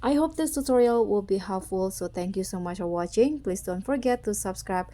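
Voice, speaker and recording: A woman hosting a casual step-by-step tutorial, narrating in a halting, deliberate way. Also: mid-range voice, animated, mellow. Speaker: tutorial host.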